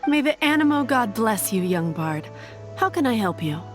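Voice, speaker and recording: Fantasy game nun: highish, expressive, formal, courteous yet unbending. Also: female voice, moderate pace. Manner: formal, pious, curtly polite. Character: courteous but firm young nun, primly dismissive. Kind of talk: fantasy video game voice acting. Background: background music.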